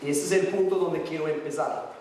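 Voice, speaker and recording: A man's voice: on the high side, steady tone, darkish tone, far from the mic, slight background noise. Hesitant man in an echoey hall. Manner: hesitant, halting non-native Spanish. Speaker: guest speaker. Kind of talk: conference talk, partly read from notes. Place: large conference hall.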